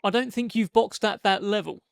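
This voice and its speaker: A male sports interviewer with a notably high voice for a man. He speaks as a host presenting a promotion and questioning guests, and the sound is dry and close, without room echo. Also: steady tone, normal speed, gravelly, smooth.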